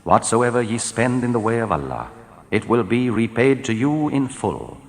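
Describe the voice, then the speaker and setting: A man reading translated scripture in a solemn, measured, declamatory style, letting his phrases fall at the end. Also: medium pitch, sing-song. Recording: long hall reverb.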